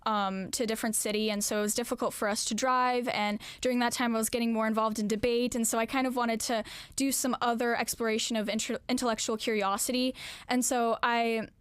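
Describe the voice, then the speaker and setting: A woman's voice: fairly high pitch, flat delivery, brisk, smooth, no room sound. A teenage woman answering questions in a local news interview, earnest and reflective, with frequent "um" fillers. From Alaska, US. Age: late teens. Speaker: interviewee, graduating student.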